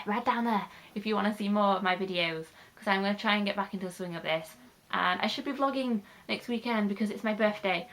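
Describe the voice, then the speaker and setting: A woman's voice: mid-range voice, fairly bright tone. A young British woman with a slightly nasal voice from a cold, rambling chattily through a casual vlog. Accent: British English. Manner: rambling, chatty, with frequent fillers. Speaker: YouTuber.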